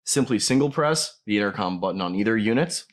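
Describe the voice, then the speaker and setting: A man's voice: fairly low pitch, animated, a little fast. A man narrating a scripted product tutorial, explaining each step plainly as a demonstrator.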